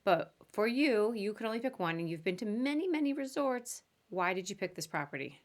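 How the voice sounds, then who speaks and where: A woman's voice: mid-range voice, expressive, a little fast. A woman hosting a podcast interview in an informal, chatty, conversational way.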